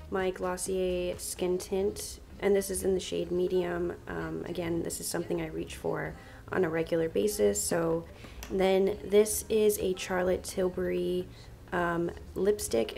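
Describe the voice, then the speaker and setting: A young woman with a stuffy, nasal head-cold voice talks through her makeup collection in a chatty, enthusiastic way. Her voice sits fairly low, with a gravelly fry at the ends of her phrases. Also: darkish tone. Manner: chatty, enthusiastic, uses fillers like um and like. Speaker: beauty vlogger. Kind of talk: casual product walkthrough. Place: home room with vanity desk.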